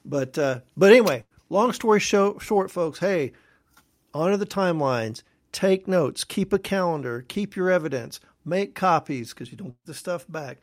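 A man's voice: on the high side, expressive, moderate pace, dry recording, clean studio sound. A man co-hosting a podcast, talking in a casual, folksy way and addressing listeners as folks. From Texas. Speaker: podcast co-host.

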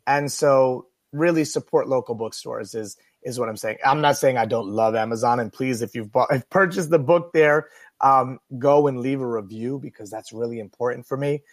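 A man's voice: medium pitch, moderate pace, no room sound. A man hosting a radio talk show, chatting casually and earnestly to listeners in a rambling, unscripted way that repeats phrases for emphasis.

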